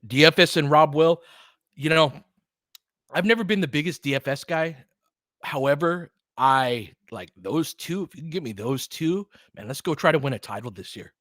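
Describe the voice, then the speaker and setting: A man's voice: fairly high pitch, lively intonation, normal speed, a bit bright, no echo, clean studio sound. An American man hosting a basketball livestream, talking in an informal, slangy way as he mixes fan chat with play-by-play commentary.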